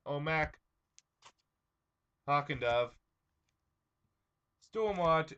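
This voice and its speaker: A young man hosting his own comic review vlog, slightly hoarse, chatting casually with lots of ums and uhs. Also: medium pitch, pushed and bright, muffled recording.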